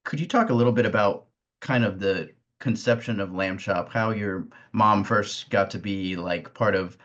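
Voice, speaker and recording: An American man in his thirties with a low-pitched voice, heard on a muffled, band-limited recording. He interviews casually, feeling his way haltingly through questions. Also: fairly bright tone. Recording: dull, band-limited sound.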